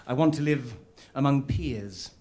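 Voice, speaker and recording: A man's voice: medium pitch, measured pace, warm tone, lightly acted. A man acting in a live audio comedy as a self-important, misunderstood writer, earnest and petulant, with a hesitant delivery. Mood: petulant, smug. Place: live on stage at a festival venue.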